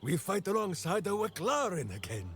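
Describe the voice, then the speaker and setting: An actor playing a hearty, simple-minded berserker ranger in a fantasy video game, speaking in grandiose, oddly phrased declarations. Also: male voice, high-pitched, sing-song, moderate pace. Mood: proud, boisterous. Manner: grandiose, third-person heroic declarations with odd phrasing. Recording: music behind the voice.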